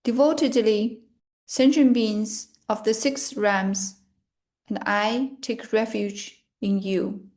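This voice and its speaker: A woman leading a guided meditation and reciting prayers in a measured, solemn manner, in short phrases with long pauses. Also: a little high, fairly even intonation, a little mellow.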